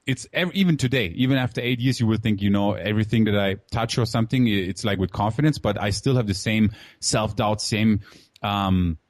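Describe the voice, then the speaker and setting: A man's voice: fairly low pitch, brisk, no room sound. A man who grew up in Germany, in his thirties, telling his life story in a casual interview with many fillers and an open, candid way of talking. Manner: candid, filler-heavy, calls host "man". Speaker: guest entrepreneur.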